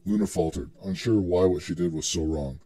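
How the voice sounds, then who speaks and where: Male narrator for scripted fiction, low register with a husky grain. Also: fairly quick, warm tone.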